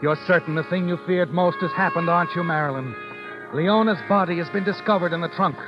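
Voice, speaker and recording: An actor playing an ominous, needling narrator in an old-time radio suspense drama, addressing the guilty heroine as you. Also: male voice, high-pitched, fairly quick, lightly acted. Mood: ominous, urgent. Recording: dull old radio recording, loud music behind. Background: dramatic music.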